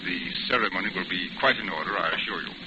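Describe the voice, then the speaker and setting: Actor as a formal, low-voiced ship's officer, noisy recording. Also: male voice, lightly acted. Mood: reassuring. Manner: measured, formal, gentlemanly. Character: courteous, reassuring, formal senior ship's officer. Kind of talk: 1940s radio drama acting. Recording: muffled, very noisy old radio recording.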